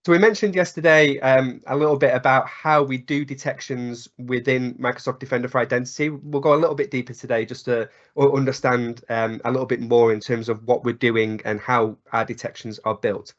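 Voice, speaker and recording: A man with a croaky voice from a cold walks through a technical webinar at a mid pitch. He talks in loose spoken phrasing, full of ums and uhs. The call audio sounds slightly dull. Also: steady tone, a little fast, hardly any pauses. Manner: many ums and uhs, restarts. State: hoarse from a cold. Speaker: presenter. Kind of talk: webinar presentation.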